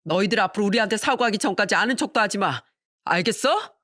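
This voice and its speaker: A woman's voice: mid-range voice, animated, quick pace, a bit bright, no room sound, studio quality. A woman voicing a fed-up eldest brother who sternly rebukes his family in a dramatized story. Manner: stern, reproachful.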